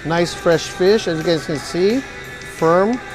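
A man's voice: high voice, slow, over music, rough recording. A man in his forties, a chef demonstrating dishes in a restaurant kitchen and hyping the food to his viewers. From Hawaii. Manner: upbeat, hypes the food to viewers. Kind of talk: cooking demonstration.

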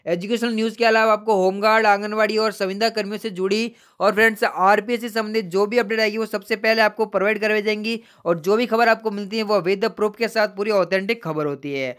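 A man's voice: high-pitched, fairly even intonation, fast talker, few pauses, fairly bright tone. A man hosting a YouTube news channel, reading out official letters and news updates in a chatty way that addresses viewers as friends. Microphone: voice-over on screen recording.

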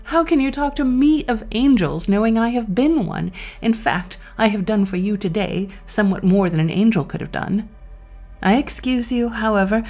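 A woman's voice: mid-range voice, a little mellow, pitched above the performer's own voice, lightly acted. A woman voicing a male devil in a storybook tale, a sardonic, boastful tempter with a mocking, courtly manner. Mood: sardonic, amused. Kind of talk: dramatized storybook reading.

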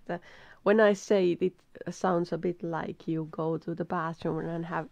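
A middle-aged woman with a Finnish accent, very tired, reading a text aloud in halting English with stumbles and restarts. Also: on the low side, flat delivery, creaky, a little mellow, no room sound, dull audio. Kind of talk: casual self-recorded reading practice. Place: small room at a desk.

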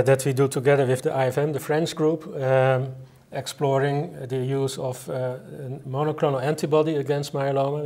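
A middle-aged man with a Dutch accent, speaking as a medical expert in a panel interview with measured, hesitant pauses. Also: mid-range voice, a little mellow. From Netherlands. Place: indoor conference interview set.

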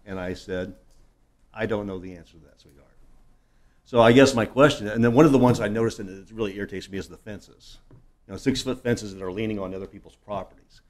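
Blunt, folksy man with a lowish voice. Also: fast. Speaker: city council member. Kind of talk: informal remarks at a council meeting. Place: council meeting room, indoors.

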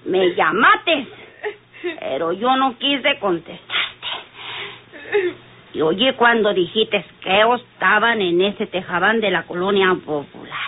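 A woman's voice: mid-range voice, breathy edge. An actress playing a drunken, defiant, bitter woman with a Mexican accent and rural slang in an old radio soap. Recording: muffled, noisy old radio recording.

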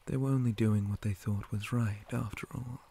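A man voicing a menacing, possessive male spider monster in an ASMR roleplay, breathy and often whispering, with slow, softly taunting, formal speech. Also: on the low side, fairly even intonation, slight background noise. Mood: calmly menacing.

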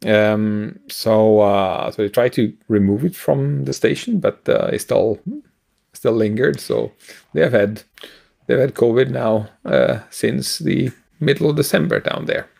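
A middle-aged man co-hosting a casual news discussion over a video call, speaking haltingly with frequent pauses. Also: mid-range voice, gravelly, a little mellow. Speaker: podcast co-host. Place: home room, indoors.

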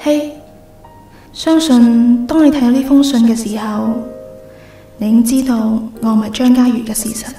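A gentle, apologetic young woman with a Hong Kong Cantonese accent, acted by a woman. Her hesitant radio drama voice is high and soft, in a roomy echo with faint music. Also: fairly even intonation, moderate pace, long pauses, lightly acted. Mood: wistful confession.

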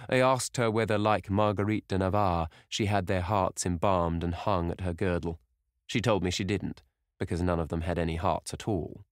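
A man voicing a charming young gentleman who speaks softly and politely. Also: deep voice, fairly even intonation, normal speed, no room sound, studio quality, close to an everyday voice.

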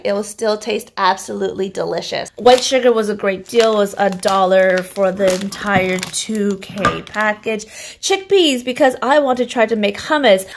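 A woman in her thirties talks to a phone held at arm's length in her kitchen. Her voice has a gravelly, fry-tinged edge, and she speaks at an ordinary middle pitch. She is chatty and rambling and laughs often. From Canada. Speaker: vlogger showing a grocery haul. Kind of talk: casual chat to camera. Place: home kitchen, indoors. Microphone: phone camera at arm's length.

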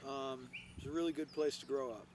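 A man's voice: medium pitch, fairly even intonation, a little fast, darkish tone, a little hiss or hum. A middle-aged man from Hawaii with an American accent, presenting casually as a chef to camera. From Hawaii, United States. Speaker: chef and restaurant owner. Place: outdoors, open yard and covered grill deck. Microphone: lapel microphone.

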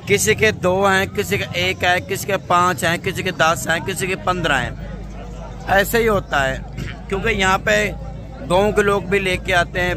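A man, talking emphatically and repetitively to viewers as a livestock market vlogger. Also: sits high. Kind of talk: vlog addressed to camera. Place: open-air livestock market. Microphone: phone at arm's length. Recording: busy outdoor crowd noise.

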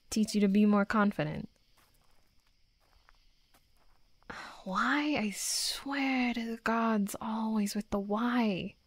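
A tough young werewolf woman played by a female voice actor in an intimate audio drama, hiding a crush behind gruff defensiveness. She stumbles and stammers over her words at a slowish pace. Her voice sits at a middle pitch in a dry, close recording. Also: a little mellow, no room sound, natural voice. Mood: defensive, embarrassed, then irritated, challenging.

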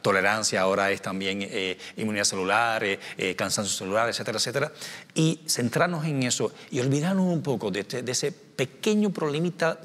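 A man's voice: fairly low pitch, a little fast, a bit bright, long reverb tail. A man in his forties, from Cuba, a scientist speaking on a studio panel, gesturing and restarting his phrases often. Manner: gestures a lot, many restarts and fillers. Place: television studio. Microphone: small lapel mic.

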